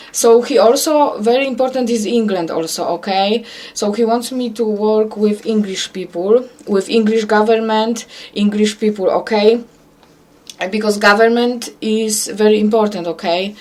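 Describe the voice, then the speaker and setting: A woman's voice: medium pitch, a little mellow. A woman from Poland with a Polish accent and Polish as her first language, giving an earnest religious monologue to camera. She repeats herself often and keeps checking in with "okay?". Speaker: self-described prophet.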